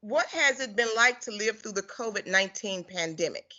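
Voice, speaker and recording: A woman's voice: medium pitch, lively intonation, moderate pace, sharp tone. A woman working as a care ombudsman, asking interview questions in a friendly, casual way. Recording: dull lo-fi recording.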